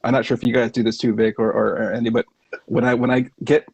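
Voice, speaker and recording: A man who is a podcast guest and bassist, talking eagerly with false starts. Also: flat delivery, fast talker, gravelly, darkish tone, slightly rough, no room sound. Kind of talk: casual chat.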